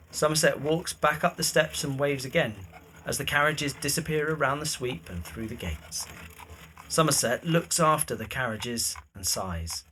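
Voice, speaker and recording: A man narrating a period audio drama from a script, describing the scene in a husky voice. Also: mid-range voice, fairly bright tone, rough recording.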